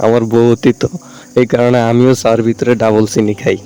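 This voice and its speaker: A man's voice: medium pitch, flat delivery, brisk, warm tone, clear, resonant tone, close to an everyday voice. A man playing a joking customer at a village tea stall in a cartoon. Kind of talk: cartoon dialogue.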